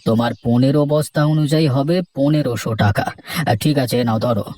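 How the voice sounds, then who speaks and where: A man's voice: mid-range voice, brisk, mellow, lightly acted. A man voicing a stern, businesslike village headman in a cartoon, with a commanding, questioning way of talking. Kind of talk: cartoon voice acting.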